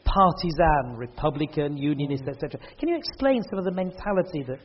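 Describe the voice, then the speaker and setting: A man's voice: fairly high pitch, expressive, fast, lo-fi sound, a little hiss or hum. A man hosting a church event, chatting and joking with the audience in a hall. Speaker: event host and speaker. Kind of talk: casual chat at a church event.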